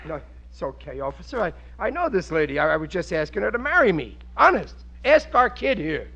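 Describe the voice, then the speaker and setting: A man's voice: high voice, very animated, brisk, vocal fry, fairly bright tone, full character voice. An actor playing a blustering, stammering middle-aged American working-class husband and father in an old radio sitcom. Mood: flustered. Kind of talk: 1940s radio sitcom acting. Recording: muffled, hissy old radio recording.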